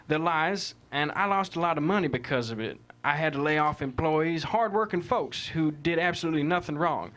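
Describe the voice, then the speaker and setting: A man's voice: fairly high pitch, fairly bright tone, lightly acted. A man playing an indignant, plain-spoken cattle rancher giving folksy, emphatic testimony in a courtroom drama table read. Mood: earnest, aggrieved.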